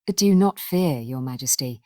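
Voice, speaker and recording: A woman storyteller plays a gentle, reassuring good fairy in a children's storybook. The voice is low, soft and soothing, recorded close and dry. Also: expressive, unhurried, no echo.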